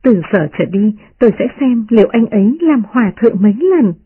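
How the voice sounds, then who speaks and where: A sharp-tongued young noblewoman, voiced by a woman reader, teases in a high, lilting voice. Her husky tone is heard through a thin, muffled radio line. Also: animated, brisk. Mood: teasing. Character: young noblewoman, witty and teasing.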